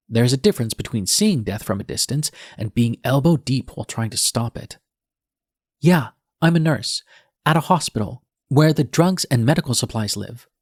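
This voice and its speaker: A man's voice: lowish voice, breathy edge, dry recording, clean studio sound. A man narrating an audiobook in the first person as a defiant, bitter young male nurse, with a sardonic, edgy manner.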